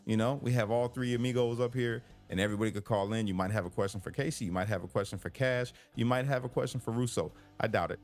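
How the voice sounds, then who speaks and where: A man's voice: mid-range voice, fast talker, hardly any pauses, faint music behind. A man hosting a live call-in stream, chatting casually, joking and teasing, reading chat comments aloud. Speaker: live show host. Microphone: headphones with computer mic.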